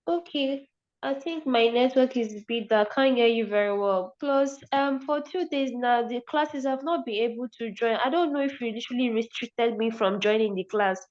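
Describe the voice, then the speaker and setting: A woman's voice: on the high side, normal speed, darkish tone. A woman student on a video call, politely and a bit hesitantly explaining that she could not join the class. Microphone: computer or phone mic on a video call. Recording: muffled, dull video-call audio.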